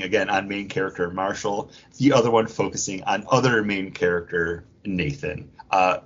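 A man's voice: fairly low pitch, dull audio, a little hiss or hum. A man co-hosting a podcast in casual, jokey, digressive chat, slipping into imagined quotes. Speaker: podcast co-host. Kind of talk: casual comic book discussion.

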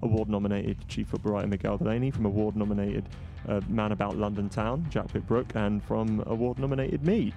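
A man's voice: on the low side, steady tone, vocal fry, dark tone, soft background music. A man hosting a football podcast in casual chat, wry and dryly humorous, with plenty of fillers. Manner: wry, dry humour, quick, filler-heavy. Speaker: podcast host.

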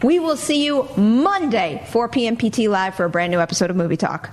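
A woman's voice: on the high side, expressive, fairly quick, a little mellow. A woman hosting a casual panel show, chatty and informal in her delivery. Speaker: show host.

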